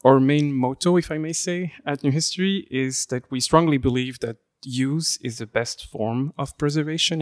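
A man from Belgium with a Belgian French accent, speaking as a podcast guest in a formal, measured way with hesitant fillers. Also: mid-range voice, no echo, studio quality. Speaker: guest, preservation engineer. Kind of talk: podcast interview.

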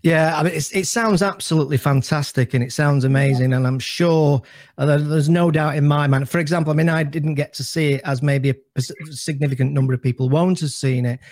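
A man's voice: a little high, fairly even intonation, brisk, darkish tone, no room sound, clean studio sound. A man interviewing a guest in a casual chat, effusive and encouraging, stumbling a little over his words.

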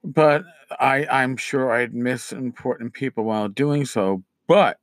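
A middle-aged man hosting a casual solo podcast, his voice scratchy as he loses it, rambling with many pauses and restarts. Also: mid-range voice, no echo. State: losing his voice, scratchy throat. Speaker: podcast host.

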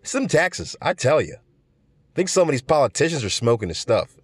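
A man's voice: medium pitch, very animated, normal speed, slightly rough, no echo, voice pushed up. A rambling, laid-back aging stoner, put on by a man, drawling his words and tacking "man" onto everything. The sound is dry and close, with no room around it. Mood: mellow griping. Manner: drawling, peppered with "man" and "bro".

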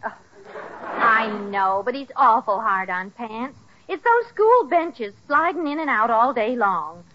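A woman's voice: a little high, very animated, a little slow. A woman playing a sensible, worried housewife and mother in a 1940s radio sitcom. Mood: patient. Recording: noisy, muffled vintage radio recording.

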